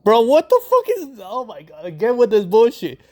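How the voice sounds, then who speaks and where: A man's voice: very high-pitched, huge pitch swings, normal speed, mellow. Slangy, profane young man reacting. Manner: rambling, slangy and profane, with bursts of mock outrage. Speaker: reaction commentator. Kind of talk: casual reaction commentary.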